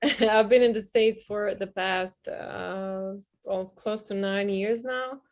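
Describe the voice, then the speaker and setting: A woman with a Serbian accent talks on a video call, friendly and a little hesitant, with plenty of ums and uhs. Her voice sits at a middle pitch for a woman. She sounds like an agency founder introducing herself to a small networking group. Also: fairly even intonation, slow, a little mellow. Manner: friendly, hesitant with many fillers. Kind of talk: casual online networking call.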